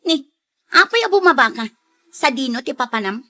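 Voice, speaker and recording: Woman acting sharp-tongued female moneylender, high piercing voice, impatient, complaining, Ilocano radio-style drama. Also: lively intonation, fairly quick. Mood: irritated, impatient. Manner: exclaiming, complaining.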